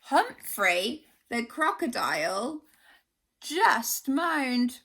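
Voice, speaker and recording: Woman doing a kids' storytime read-aloud, slow and expressive, voice goes up and down a lot. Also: fairly high pitch, husky, low-quality recording. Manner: expressive, gently dramatic. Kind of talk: reading a children's picture book aloud.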